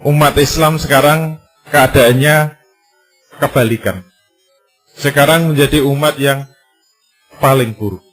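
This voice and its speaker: A male Islamic preacher giving a sermon, full of rhetorical questions and emphatic repetition, lively and sometimes joking. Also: mid-range voice, unhurried, long pauses, faint music behind. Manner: lively, conversational and jokey in places.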